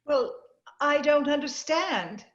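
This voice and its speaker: An actress playing a bewildered, horrified wife in melodramatic 1940s radio style, on a dull remote home recording. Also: female voice, high voice, lively intonation, slow. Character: bewildered, horrified wealthy wife, high and fluttery. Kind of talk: 1940s radio drama acting.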